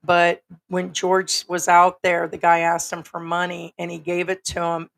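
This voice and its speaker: A woman's voice: fairly low pitch, monotone, a little slow, gravelly, a bit bright. A woman co-hosting a livestream, telling a story in a casual, rambling way with lots of fillers. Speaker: livestream co-host.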